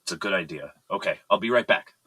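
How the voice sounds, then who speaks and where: A man's voice: deep voice, fast, bright, forceful tone, breathy edge, no echo, heavily acted. An actor playing a composed, evasive but polite businessman in an audio drama. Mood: urgent, worried.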